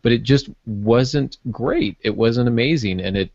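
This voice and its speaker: A man's voice: mid-range voice, unhurried, a little mellow, dry recording. A man chatting casually as a podcast panelist, feeling his way through his thoughts with restarts. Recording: muffled, dull lo-fi audio.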